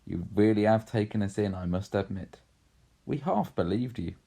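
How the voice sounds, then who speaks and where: A man reads a sensible older brother from a storybook. The boy is fair-minded and firm, in a slightly husky voice with some creak. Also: on the low side, normal speed, warm tone. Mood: amused.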